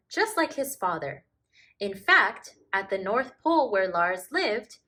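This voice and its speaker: A woman reads a children's picture book aloud like a teacher. Her voice is bright and forward, and she takes her time with the phrases. The delivery is expressive and emphatic, made for young listeners. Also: medium pitch. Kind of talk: animated children's storybook narration.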